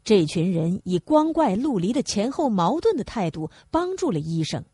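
A woman's voice: medium pitch, sing-song, normal speed, mellow, breathy edge, dry recording, studio quality. A woman reading a novel aloud as scripted audiobook narration.

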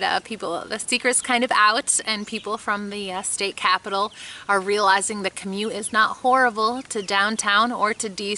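Bright-voiced woman chatting outdoors. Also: mid-range voice, slight background noise. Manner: earnest, chatty, with small laughs. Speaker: homestead vlogger. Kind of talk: casual talk to camera. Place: outdoors in a grassy backyard beside a chicken coop. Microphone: camera mic at close framing.

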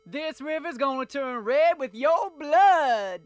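A high, screeching villain who taunts the hero from his helicopter, drawing his words out slowly. The 16-bit game voice is recorded dry and close, with no room sound. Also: expressive, dry recording, exaggerated voice. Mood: taunting. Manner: taunting, shouted, drawn out. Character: shrill taunting male helicopter pilot boss.

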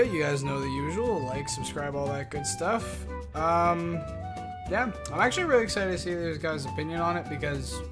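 A young man on a boom mic in a small home studio, chatting casually ahead of a reaction. His voice sits somewhat high, he swears offhandedly, and music plays clearly behind him. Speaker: reaction streamer. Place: small bedroom studio indoors. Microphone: studio mic on boom, headphones.

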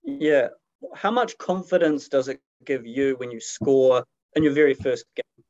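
A male reporter puts straightforward questions to a player. His voice is medium in pitch, and the recording sounds slightly dull and lo-fi. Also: lively intonation, measured pace, a little mellow. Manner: plain, direct questioning. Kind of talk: press conference questions.

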